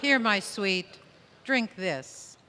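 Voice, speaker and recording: A woman playing a calm, long-suffering, affectionate sorceress with an unhurried, wistful delivery in radio theatre comedy. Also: mid-range voice, very noisy. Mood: soothing. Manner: unhurried, soothing, dryly affectionate. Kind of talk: comic radio theatre acting. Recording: prominent guitar music behind, live stage.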